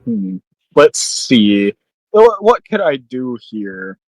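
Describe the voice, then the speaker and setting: A man's voice: mid-range voice, very animated, slow pace, gravelly, no room sound, rough recording. Man roleplaying at a game, dry close sound. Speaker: player in a tabletop roleplaying game; voice actor. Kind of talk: in-character roleplay and casual game chat.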